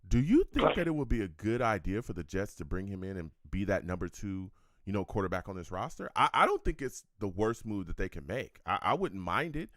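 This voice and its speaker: A man hosting a casual sports talk show, lively and prone to repeating words as he starts his thoughts. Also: fairly low pitch, a little fast, dry recording, clean studio sound. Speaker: podcast host.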